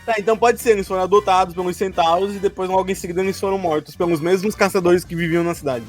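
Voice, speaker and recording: A Brazilian man with a high voice talks casually as a podcast co-host. He is jokey and quick to laugh, and faint music plays behind him. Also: fairly even intonation. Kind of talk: casual chat looking back on a role-playing campaign.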